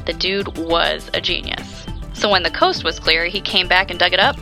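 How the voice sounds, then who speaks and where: An actress playing a curious, skeptical but sympathetic interviewer in conversational audio drama dialogue. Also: female voice, fairly low pitch, steady tone, moderate pace, over music, lightly acted. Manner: inquisitive, conversational.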